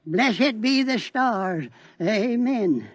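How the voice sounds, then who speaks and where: A very high, reedy male cult believer in a 1950s radio play. He stammers and swells with rapture about his faith, his pitch leaping around. The sound is close and dry. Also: expressive, very slow, no room sound, exaggerated voice. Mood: zealous. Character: fervent old male cult believer, zealous. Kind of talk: 1950s radio drama acting.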